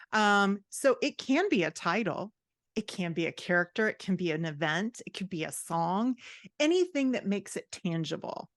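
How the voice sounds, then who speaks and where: A woman's voice: mid-range voice, no room sound. Woman guest explaining things conversationally, dry sound. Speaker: guest author and writing coach. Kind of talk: conversational interview answers.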